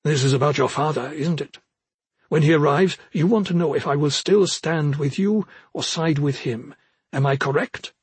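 A man's voice: a little high, moderate pace, husky, no echo. Man reading as a solemn, loyal soldier, clean dry sound. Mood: probing. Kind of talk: audiobook character dialogue.

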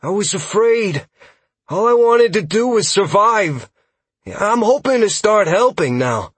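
Man acting out male elf scout, raised pitch, nervous, eager, hesitant, apologetic, fantasy audiobook dialogue. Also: expressive, normal speed, breathy edge, dry recording. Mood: ashamed, afraid.

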